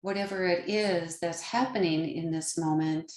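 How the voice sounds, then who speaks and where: A woman leading a guided meditation as a teacher, speaking gently in short, unhurried phrases with pauses between them. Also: on the low side, fairly even intonation. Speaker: yoga nidra meditation teacher. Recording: roomy, echoing sound.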